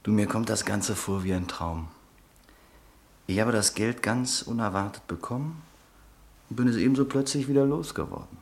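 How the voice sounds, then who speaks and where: A man's voice: on the low side, normal speed, close to an everyday voice. An actor playing a dreamy man who has lost his fortune, speaking in a wistful, hesitant way.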